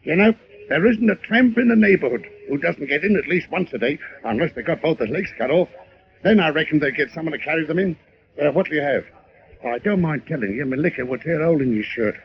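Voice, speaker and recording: An actor playing a chatty, wisecracking bartender and barroom philosopher in an old radio drama. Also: male voice, a little high, expressive, fast. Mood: folksy, philosophical. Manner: folksy, garrulous, wisecracking. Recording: dull old radio recording.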